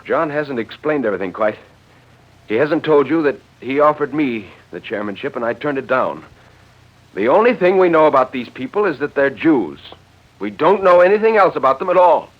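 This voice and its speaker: A man's voice: mid-range voice, lively intonation, voice pushed up, lightly acted. An actor playing an earnest, stubborn man who objects insistently, in 1940s radio drama style. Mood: firm, earnest. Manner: agitated, insistent, halting when interrupted. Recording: dull old radio recording.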